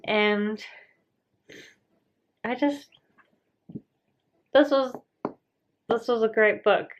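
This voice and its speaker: A woman's voice: on the high side, very slow, a little hiss or hum. Woman vlogging casually, slightly dull sound. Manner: rambling, self-deprecating, trailing asides. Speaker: book vlogger, host. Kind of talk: casual chat to camera. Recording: slightly dull lo-fi home recording.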